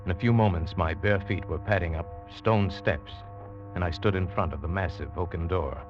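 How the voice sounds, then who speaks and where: An actor playing a shipwrecked big-game hunter who narrates his ordeal dramatically and deliberately in a vintage radio drama. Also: sits low, lightly acted. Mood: tense, narrating. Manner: dramatic, deliberate, halting phrasing. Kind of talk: 1940s-50s radio drama acting, first-person narration. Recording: dull vintage radio recording, music underneath.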